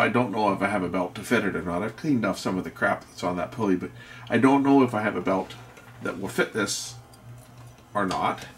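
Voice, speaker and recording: A middle-aged or older man giving casual, unscripted repair commentary, rambling and halting as he thinks aloud. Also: on the low side, slight background noise. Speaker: electronics repair video host.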